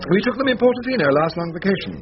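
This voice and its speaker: An actor playing a pompous, bumbling middle-aged barrister with an upper-class English accent and a hesitant, stammering manner. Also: male voice, high-pitched, animated, rapid-fire. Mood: sheepish, chatty. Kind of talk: comic drama acting. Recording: dull recording, music behind.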